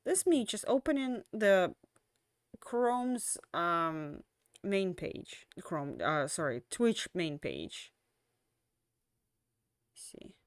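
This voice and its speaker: A young woman streamer chatting casually at the end of a stream, rambling with lots of fillers and restarts, tired and slowly losing her voice. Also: on the low side, sing-song, clear, resonant tone, no echo, studio quality.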